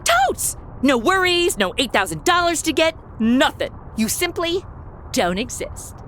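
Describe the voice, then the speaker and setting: A woman's voice: high voice, huge pitch swings, unhurried, a bit bright, a little hiss or hum, heavily acted. A woman playing a sassy, flippant young guardian angel in a comedy audio drama, talking in blunt, sarcastic modern slang. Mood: gleeful.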